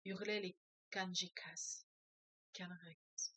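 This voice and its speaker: A woman's voice: lowish voice, level tone, slow, a bit bright, dry recording. A woman who teaches French as a foreign language, reading a novel aloud and breaking off to comment, with hesitant, thinking-aloud speech full of filler sounds.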